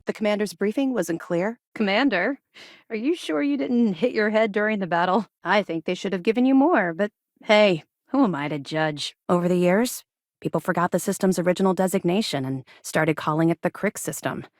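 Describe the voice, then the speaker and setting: A woman's voice: mid-range voice, a little fast, dry recording, studio quality, close to an everyday voice. A woman acting a no-nonsense, guarded female officer in a space video game, matter-of-fact and dryly sardonic. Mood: incredulous, then reflective. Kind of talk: scripted game dialogue, naturalistic acting.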